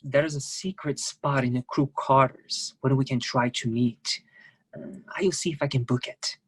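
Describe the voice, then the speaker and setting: Earnest ship worker. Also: female voice, very deep voice, husky, full character voice. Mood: furtive. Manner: halting, simple non-native phrasing. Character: idealistic young Brazilian cruise-ship worker, earnest and secretive. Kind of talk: dramatic staged play reading.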